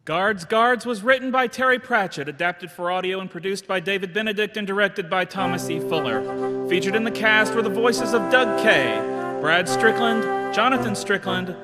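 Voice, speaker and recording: A man's voice: sits high, normal speed. A man reading scripted credits as the announcer of a live radio theater show. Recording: live show with loud music underneath.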